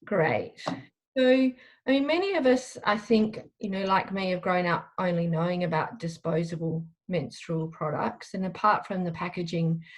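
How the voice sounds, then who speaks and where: A woman's voice: lowish voice, moderate pace, poor audio. A woman hosting an online workshop, asking the panel thoughtful questions. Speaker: workshop host. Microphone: video call.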